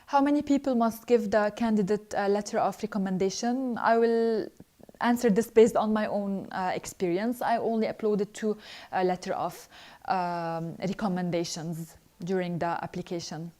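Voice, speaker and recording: A young woman, a scholarship alumna, reading out viewer questions and answering them earnestly in a live Q&A, with frequent hesitant pauses. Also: mid-range voice, steady tone, dark tone.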